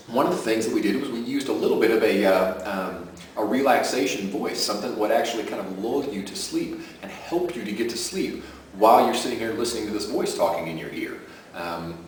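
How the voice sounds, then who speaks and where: A man with a deep voice pitching his sleep audio program in a chatty way and often adding "okay?" to his points. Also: slight background noise. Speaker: self-help audio creator. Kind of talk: casual direct-to-camera pitch. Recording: roomy echo from a distant mic.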